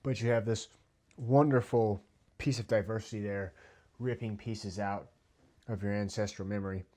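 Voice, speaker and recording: An American man giving a heated, halting commentary monologue with many restarts and sudden drops in volume. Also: fairly low pitch, fairly even intonation, warm tone. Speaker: video commentator.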